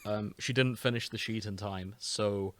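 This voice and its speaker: A man's voice: lowish voice, fairly even intonation, unhurried, slight background noise. A British man hosting a podcast, chatting casually in a wry, self-deprecating way. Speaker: podcast host. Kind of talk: casual chat.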